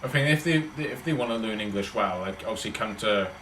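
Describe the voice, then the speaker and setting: A man's voice: fairly low pitch, animated, a little fast, fairly bright tone, roomy sound, slight background noise, rough recording. A man with a Welsh accent, chatting casually and rambling on a livestream as he answers his viewers. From Wales. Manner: rambling, chatty, talks in loose run-on thoughts.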